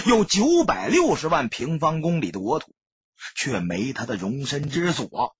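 Male storyteller, very husky, theatrical, emphatic, dry close sound. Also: on the high side, a bit bright, dry recording, highs cut off. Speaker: audiobook narrator. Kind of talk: dramatic storytelling narration of a crime novel.

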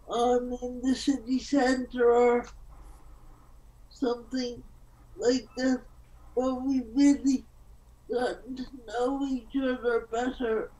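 A woman speaking informally and haltingly as a group member on a video call. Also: fairly high pitch, fairly even intonation, mellow, poor audio. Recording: faint background noise on video call.

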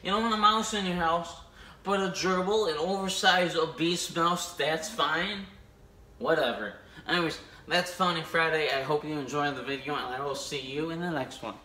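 A man's voice: fairly high pitch, normal speed, pushed and bright, recorded from a distance. A young man telling rambling jokes to camera in his kitchen, chatty and stumbling over words. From United States. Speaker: comedy vlogger. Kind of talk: casual stand-up jokes to camera. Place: home kitchen, indoors. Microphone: phone camera a few steps away. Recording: echoey kitchen.

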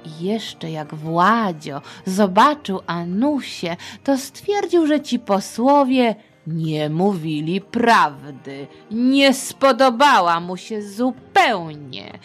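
A woman hosting a children's history podcast, telling the story in a playful, chatty, expressive manner. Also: mid-range voice, takes it slowly, hardly any pauses, soft background music.

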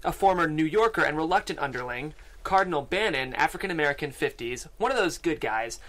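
A man's voice: on the high side, expressive, brisk, fairly bright tone, rough recording. A man reading screenplay stage directions aloud as a narrator, close to a studio microphone. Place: small podcast studio. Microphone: studio microphone on desk.

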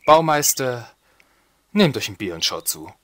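A man playing a flippant lord, animated voice. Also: medium pitch, a little slow, a little hiss or hum. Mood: brisk, planning. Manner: sarcastic, casual and colloquial. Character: flippant, bumbling medieval lord, teasing and sarcastic. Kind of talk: comedic audio drama acting.

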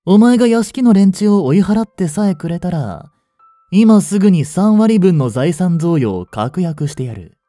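A man's voice: sits high, expressive, measured pace, warm tone, no echo, soft background music. Man acting a cocky, swooping young kidnapper. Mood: cocky, scheming. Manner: brash, taunting, casual rough speech. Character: cocky, money-hungry young male kidnapper, teasing and bossy. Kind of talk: Japanese romance audio drama acting.

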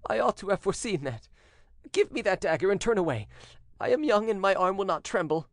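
Man playing an earnest, high-voiced young condemned son. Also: expressive, a little fast, no room sound, studio quality. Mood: urgent. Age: young adult. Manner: earnest, entreating, emotional. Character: young condemned son, noble, tender, resolute. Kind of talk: dramatic audiobook character reading.

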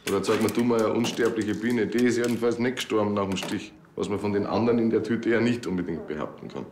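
An actor playing a casual, slightly nervous police colleague in a TV drama. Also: male voice, lowish voice, fast, a little mellow, echoey room, slight background noise, close to an everyday voice. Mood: offhand.

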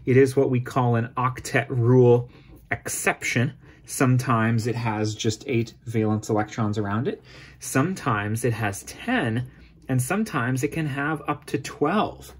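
A man's voice: mid-range voice, a little slow, slight background noise. A man teaching chemistry, explaining step by step in an informal tutorial style.

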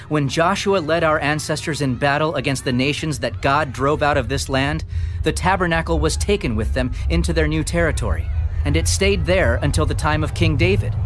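A man as an impassioned, high-pitched preacher over music. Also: natural voice. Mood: rising, impassioned. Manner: solemn, declamatory storytelling. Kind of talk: dramatized scripture reading, oratorical. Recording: studio reading over prominent background music.

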